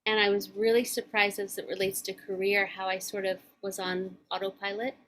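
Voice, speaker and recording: A woman's voice: medium pitch, flat delivery, fairly bright tone, faint music behind, low-quality recording. Reflective, earnest woman podcaster. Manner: reflective, earnest, speaks in measured thoughts. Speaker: podcast co-host. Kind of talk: casual chat.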